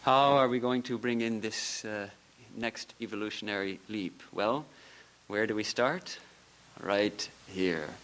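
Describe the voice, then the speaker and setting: A man with a fairly low voice gives a temple lecture as a monk. He tells small stories with soft laughter and builds his points through earnest repetition. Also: unhurried. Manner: storytelling, with soft laughs and earnest repeated phrases. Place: temple hall.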